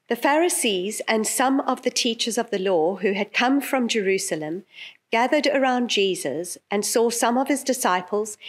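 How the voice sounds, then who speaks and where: Slow-paced woman reading scripture. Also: medium pitch. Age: middle-aged. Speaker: pastoral carer, Bible reader. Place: indoor chapel at a lectern. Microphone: gooseneck lectern microphone.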